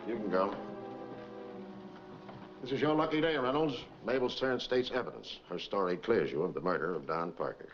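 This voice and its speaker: A man's voice: on the low side, lively intonation, normal speed, long pauses, faint music behind, close to an everyday voice. An actor playing an authoritative, matter-of-fact male police captain in a 1940s crime film. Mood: brisk, official. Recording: dull, noisy 1940s film soundtrack.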